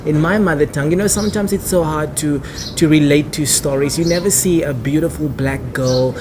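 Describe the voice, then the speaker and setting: Young Namibian man, clear background noise. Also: fairly quick. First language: Afrikaans. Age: early 20s. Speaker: interview guest, actor and theatre director. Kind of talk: conversational interview answers. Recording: noisy ambient background, traffic-like rumble.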